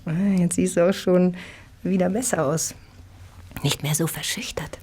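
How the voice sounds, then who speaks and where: An actress in a German radio play voicing a brash, cheeky young Victorian prostitute with slangy, impatient talk. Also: female voice, on the low side, fairly even intonation, a little slow, mellow, a little hiss or hum, lightly acted. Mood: chatty, coaxing.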